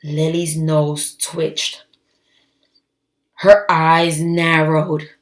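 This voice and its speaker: Lady reading a kids book out loud, slow n expressive, medium pitch, echoey room. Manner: expressive, emphatic. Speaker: read-aloud storyteller. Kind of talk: children's storybook read-aloud with asides. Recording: echoey, roomy sound.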